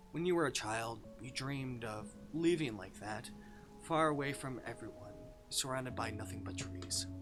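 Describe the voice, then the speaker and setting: A male streamer reading a visual novel aloud with expressive, dramatic delivery and casual commentary. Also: medium pitch. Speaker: game streamer. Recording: music behind the voice.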